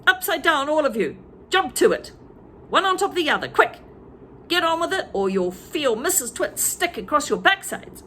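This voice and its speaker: A woman's voice: sits high, big pitch swings, normal speed, a little hiss or hum, voice pushed up, exaggerated voice. A woman voicing a nasty, bullying old man who barks threats and orders in a storybook reading. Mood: barking. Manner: barking, shouted threats.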